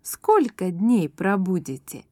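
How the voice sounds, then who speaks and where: A woman reads short lesson phrases word by word in a measured, very unhurried way, then says each phrase again in full. Her pitch rises and falls in a lively way, and the sound is dry and close. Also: dry recording. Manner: deliberate, each word spoken separately, then repeated whole. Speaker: language lesson speaker. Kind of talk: slow, careful phrase reading with repetition.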